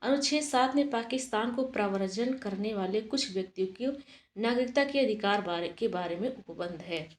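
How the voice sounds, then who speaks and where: A woman's voice: medium pitch, normal speed, echoey room. A woman teacher giving an exam-prep lesson, explaining steadily and asking and answering her own questions.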